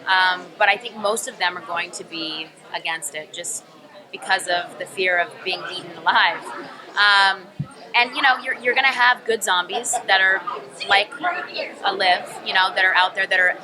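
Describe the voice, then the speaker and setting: A woman's voice: medium pitch, fairly even intonation, moderate pace. A young woman actor answering press questions in a thoughtful, rambling way with many ums. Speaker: actor being interviewed. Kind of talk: press room interview answers. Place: busy convention press room. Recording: crowd chatter behind.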